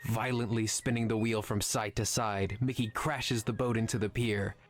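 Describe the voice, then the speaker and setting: A man's voice: fairly low pitch, fairly even intonation, normal speed, soft background music, close to an everyday voice. A man playing a sarcastic, irreverent film nerd in a visual novel game, wry and mischievous in his needling.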